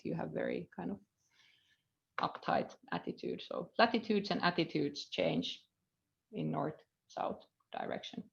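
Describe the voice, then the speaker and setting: A woman's voice: lowish voice, creaky, dull audio. A woman in her thirties with a Finnish accent, a university lecturer teaching a live coding lesson, thinking aloud with frequent fillers and trailing off as she reads code. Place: indoor room at a computer.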